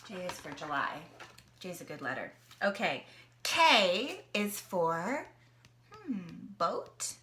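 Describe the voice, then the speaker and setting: A woman's voice: fairly low pitch, big pitch swings, takes it slowly, bright, forceful tone, slight background noise. A woman from Canada reading a picture book aloud to a young child, asking questions and gasping at the pictures. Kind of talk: children's story reading with sing-along.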